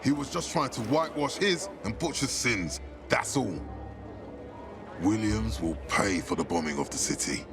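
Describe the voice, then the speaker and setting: An actor playing an authoritative, calculating military commander in a video game, with a measured, persuasive manner. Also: male voice, lowish voice, lively intonation, over music. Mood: firm, assured. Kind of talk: video game cutscene acting.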